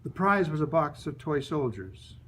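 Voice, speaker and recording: A man from the United States, an author reading his memoir and reflecting on it in a deliberate, dramatic storytelling manner. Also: fairly high pitch, sing-song, a bit bright, slight background noise.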